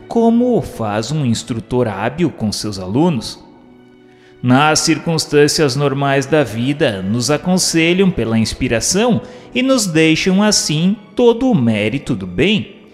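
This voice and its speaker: A man's voice: medium pitch, in a large hall. A man narrating scripted book commentary in a formal, didactic manner. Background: faint music.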